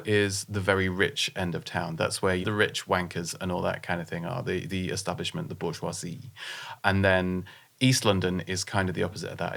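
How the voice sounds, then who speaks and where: A guest on a podcast chatting casually, frank and opinionated, who explains things at length. The voice is very low with a heavy gravelly fry. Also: fairly bright tone. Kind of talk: casual conversation.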